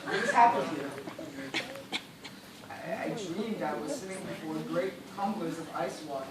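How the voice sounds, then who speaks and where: Man acting a high-voiced, shaken gentleman, very echoey. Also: animated. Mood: shaken, confused. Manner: slow, dramatic, exclamatory. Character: shaken, thirst-tormented gentleman castaway. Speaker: stage actor. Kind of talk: theatrical stage acting. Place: indoor theatre stage, recorded from afar. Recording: very echoey, noisy, distant stage recording.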